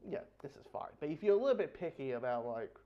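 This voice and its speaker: A man giving a casual, unscripted review in a rambling, halting way, often repeating words and restarting, with dull lo-fi sound. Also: fairly high pitch, very animated. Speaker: book review host.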